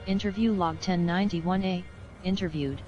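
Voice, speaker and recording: A narrator reading a formal document in a measured, clinical way, as scripted narration. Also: medium pitch, fairly even intonation. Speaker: narrator reading a fiction wiki entry. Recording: dull lo-fi voice-over with music behind. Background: music.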